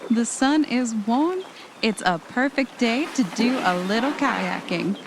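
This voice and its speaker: A woman's voice: a little high, lively intonation, slow pace, a little hiss or hum. A woman playing an anxious but adventurous podcaster on vacation in an audio drama. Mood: upbeat, coaxing.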